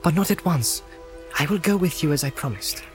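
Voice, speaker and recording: A man voicing an earnest young male hobbit in an audiobook, pleading and coaxing with gentle, firm command. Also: fairly high pitch, animated, a little fast, faint music behind, pitched above the performer's own voice, close to an everyday voice. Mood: reassuring, earnest. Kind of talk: audiobook character voice.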